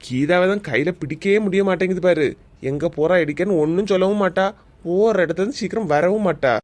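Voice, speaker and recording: A man's voice: high-pitched, fast, lightly acted. A man voicing a friendly, easily amazed office-worker husband in a cartoon comedy, with a Kanyakumari Tamil accent. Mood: chatty. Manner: friendly, excitable.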